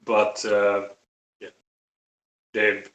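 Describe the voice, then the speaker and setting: A man with a Finnish accent talking casually and bluntly on a live stream, halting and repeating his words. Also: lowish voice, completely flat delivery, fairly bright tone. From Finland. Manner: halting, repetitive, blunt with swearing. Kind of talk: casual opinionated live talk. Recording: roomy, echoey live-stream audio.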